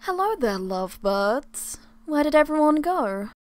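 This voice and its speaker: A woman's voice: high-pitched, sing-song, unhurried, dry recording, soft background music. A woman voicing a sly, knowing witch in an amateur roleplay, teasing in tone.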